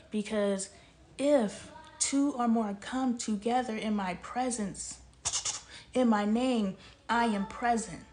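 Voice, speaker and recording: A woman's voice: a little high, a little mellow, a little hiss or hum. A woman from El Paso, Texas, giving a casual spiritual commentary monologue. She talks passionately, asks rhetorical questions, and sometimes drops to a near-whisper. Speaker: vlogger.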